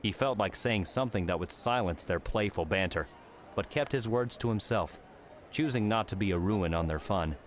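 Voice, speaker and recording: A man with a low, deep voice narrates a story in the manner of an audiobook. The reading is scripted, and there is noise behind the voice. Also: normal speed.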